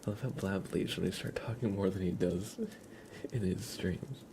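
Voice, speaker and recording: A man hosting an ASMR livestream, chatting playfully and softly with viewers, often slipping into whispers and rambling as he goes. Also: deep voice, steady tone, normal speed, creaky, background noise. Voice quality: soft, often breaking into whispers. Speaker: livestream host. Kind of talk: ASMR livestream chat, reading and answering viewer comments.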